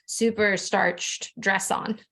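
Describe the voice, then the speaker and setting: A woman's voice: mid-range voice, fairly even intonation, takes it slowly, sharp tone. A young adult woman from the Bahamas, a spiritual coach, speaking openly and earnestly in an interview, with frequent "like" fillers. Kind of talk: candid interview conversation.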